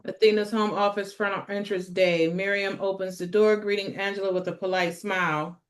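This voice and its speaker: A woman's voice: mid-range voice, flat delivery, normal speed. A woman narrating a script at a table read, reading out stage directions.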